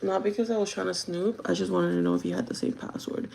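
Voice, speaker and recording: A young woman talks in a casual vlog with slight background noise around her. Her voice is gravelly and groggy, with plenty of fry, at a medium pitch. She addresses her viewers directly in loose, conversational advice. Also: wildly swooping pitch, fast, mellow. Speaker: vlogger chatting while doing makeup.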